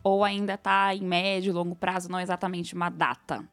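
A woman's voice: on the low side, little pitch movement, brisk, a bit bright, soft background music. A Brazilian woman hosting a podcast in a conversational, chatty and effusive way. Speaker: podcast host.

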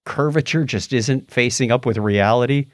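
A man's voice: mid-range voice, moderate pace, no room sound, studio quality. A middle-aged man co-hosting a podcast in casual, bantering conversation. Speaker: podcast co-host.

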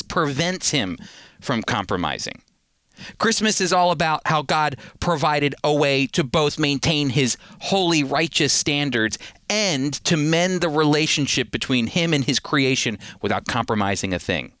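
Earnest, bright-voiced male radio Bible teacher. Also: a little high, no echo, clean studio sound. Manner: earnest conversational preaching with fillers.

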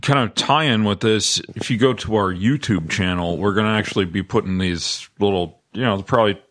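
A man's voice: on the low side, moderate pace, a bit bright, no room sound, studio quality. A man co-hosting a podcast, presenting industry news in a casual discussion style.

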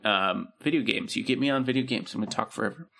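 A man's voice: mid-range voice, fast talker, vocal fry, fairly bright tone. A man co-hosting a podcast, talking casually and rambling with lots of ums and likes. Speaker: podcast co-host. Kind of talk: casual chat.

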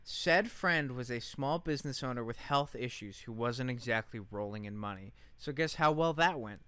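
A man's voice: medium pitch, normal speed, fairly bright tone, clean tone, no echo. A man co-hosting a podcast, reading aloud and chatting in an informal, sweary way. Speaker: podcast co-host. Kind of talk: casual podcast chat and reading aloud from a post.

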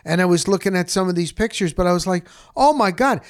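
Middle-aged man telling stories, higher-pitched voice. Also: lively intonation, fast talker, no room sound, studio quality. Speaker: broadcast host. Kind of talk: casual personal storytelling.

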